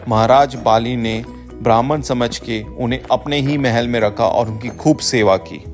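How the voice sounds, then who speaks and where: A man's voice: mid-range voice, measured pace, natural voice. A man as a father storytelling, music behind. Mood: explanatory. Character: father telling his child festival mythology stories. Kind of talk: storytelling for children.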